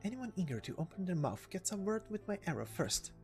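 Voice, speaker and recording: A reserved young archer girl from a game story, played by a woman, low in voice. She is loyal but terse, speaking bluntly or haltingly over music. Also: very animated, darkish tone. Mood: firm.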